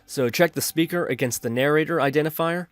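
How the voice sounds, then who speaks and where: A man's voice: a little high, a little fast, no echo, studio quality, faint music behind. A man narrating a programming tutorial in a methodical, instructional way.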